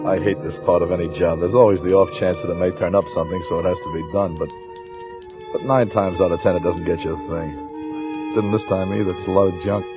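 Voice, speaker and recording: An American actor playing a dry, skeptical police lieutenant, talking and narrating in a laconic, matter-of-fact way in a vintage radio crime drama. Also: male voice, low-pitched, fairly even intonation, brisk, close to an everyday voice. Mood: weary. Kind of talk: old-time radio crime drama acting, first-person narration. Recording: dull, lo-fi vintage radio recording. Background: music.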